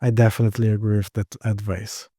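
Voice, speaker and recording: A man's voice: on the low side, level tone, fast talker, dark tone, husky, dry recording, clean studio sound. A man from Brazil with a Brazilian accent, a startup co-founder answering interview questions in short, explanatory phrases.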